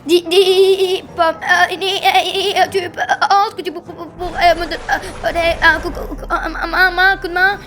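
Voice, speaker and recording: Anxious, kindly cartoon rag doll female performer, very high voice, heavy stammer with repeated syllables, amateur dub. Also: little pitch movement, unhurried, hardly any pauses, a bit bright, soft background music. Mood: nervous pleading.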